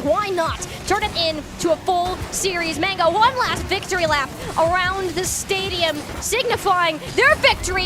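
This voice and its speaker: A young woman gives colour commentary on a college esports match in a high voice. She gets excitable and pushes louder whenever she hypes a player. Faint game music runs underneath. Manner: excitable, hyping players, rising loud peaks. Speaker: esports caster.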